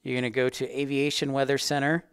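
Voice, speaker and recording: Male educator-host for a casual livestream. Mid-pitched, clean voice, rambling conversational delivery, very little room sound. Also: flat delivery, fast talker, clean tone, no room sound, studio quality. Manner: chatty, rambling, addressing viewers by name. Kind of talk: casual livestream chat with viewers.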